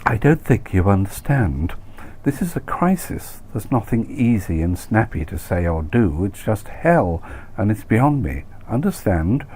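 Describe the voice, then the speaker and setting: A man's voice: fairly low pitch, very animated, a little slow, a little mellow, a little hiss or hum. A man voicing a brittle, betrayed expat wife, terse, bitter and impatient, in a comic story reading. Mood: exasperated. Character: Mrs Tregunter-Smythe, brittle, exasperated betrayed expat wife. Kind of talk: acted dialogue in comic short story reading.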